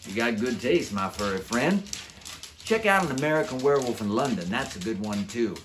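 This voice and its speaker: A man's voice: mid-range voice, expressive, normal speed, a bit bright, a little hiss or hum, natural voice. A man voicing a brash, boastful, streetwise male werewolf in a children's storybook, with slangy, chummy, showy talk. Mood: breezy, chummy. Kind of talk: children's book character voice.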